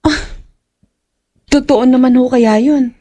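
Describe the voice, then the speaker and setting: A woman acts a respectful young daughter in a horror radio play. Her voice is high and anxious, with a questioning, worried delivery. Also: steady tone, slow pace, warm tone. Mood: shocked disbelief. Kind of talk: horror radio drama acting.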